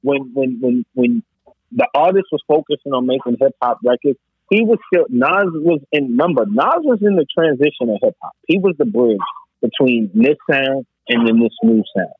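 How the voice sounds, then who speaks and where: A husky male voice comes through a dry, phone-like connection. His pitch swings animatedly as he debates hip-hop casually and emphatically, repeating phrases to press his point. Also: animated, moderate pace, no echo. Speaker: podcast host. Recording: narrow tinny phone-like line.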